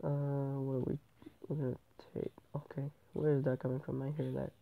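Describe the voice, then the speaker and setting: A man's voice: medium pitch, flat delivery, normal speed, creaky, slight background noise. A male gamer with a stuffy nose from a cold, rambling through casual game commentary and often correcting himself. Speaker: gameplay channel host. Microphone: tablet microphone. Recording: tinny, phone-like tablet recording.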